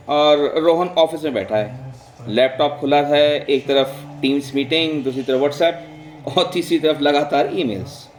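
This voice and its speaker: Guy with a higher voice doing reflective storytelling, chatty, drops english words in, roomy echo. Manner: addresses listeners directly, mixes in English words. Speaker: vlog host and storyteller. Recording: roomy, echoing sound.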